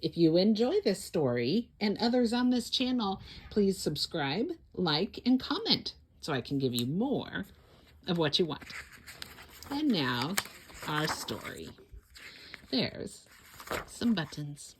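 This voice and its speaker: A woman hosting a children's story time, reading a picture book aloud in a theatrical, deliberate way with exclamations. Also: lowish voice, big pitch swings, slight background noise. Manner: slow, deliberate phrasing for young children. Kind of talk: animated read-aloud picture book storytelling with sung refrains.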